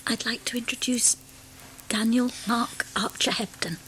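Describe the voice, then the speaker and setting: An actress playing a young woman about to give birth and then a tired new mother, speaking softly and often whispering, in a radio drama. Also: fairly high pitch, fairly even intonation, measured pace, slight background noise, poor audio. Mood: tired, whispered.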